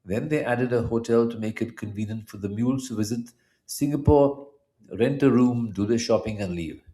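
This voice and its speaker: Middle aged guy w indian accent, punjabi native, medium pitch, narrating his own written piece, kinda formal and measured. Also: warm tone. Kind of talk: scripted narration of a personal history essay.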